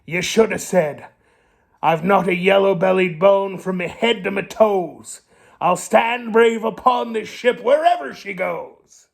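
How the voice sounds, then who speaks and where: Storybook pirate captain: cranky blusterer, creaky growl, slow and salty. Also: male voice, high voice, pitched above the performer's own voice, heavily acted. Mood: demanding, exasperated.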